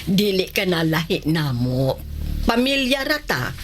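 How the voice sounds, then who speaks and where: A woman's voice: lowish voice, very animated, a little slow, slight background noise. An actress playing a homely middle-aged mother and aunt in a radio drama, welcoming and reassuring in a conversational way.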